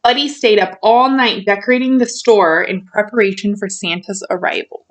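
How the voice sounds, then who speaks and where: A woman reading a children's storybook aloud in an expressive way, stretching out key words for emphasis. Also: medium pitch, moderate pace, low-quality recording.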